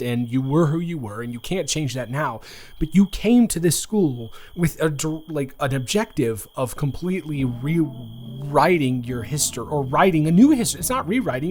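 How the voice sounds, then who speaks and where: A nervous college boy, played by a young man speaks in a medium-pitched voice with music playing behind him. He is earnest and sweetly awkward, stammering through rambling, intimate romantic roleplay and repeating words for emphasis. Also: natural voice. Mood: earnest, fumbling.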